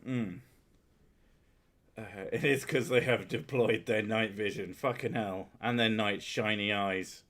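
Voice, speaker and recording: A lowish-voiced man in his thirties chats on a stream. He is loud and sweary, and he laughs at himself. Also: fairly even intonation, a little slow. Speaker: streamer host. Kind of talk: casual chat with partner and chat. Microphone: headphones and desk mic.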